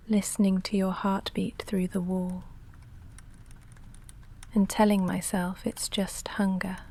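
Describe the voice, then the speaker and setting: A woman playing a shy, lovestruck vampire in an intimate ASMR roleplay, speaking softly and breathily with halting, confessional hesitation, often in a whisper. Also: medium pitch. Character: shy lovestruck female vampire roommate, confessing and self-restrained.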